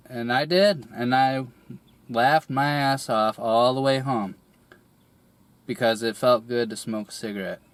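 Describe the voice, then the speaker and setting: Slow, raspy man with a cold. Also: medium pitch. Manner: hesitant, rambling, many fillers and restarts, clears throat often. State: recovering from a cold, voice a little raspy. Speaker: musician telling a personal story. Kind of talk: candid personal monologue.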